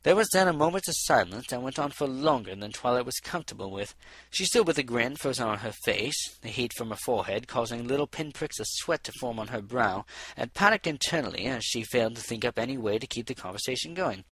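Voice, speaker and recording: A man's voice: mid-range voice, expressive, fairly quick, a bit bright, slightly rough, no room sound. A man narrating a scripted story as an audiobook reader.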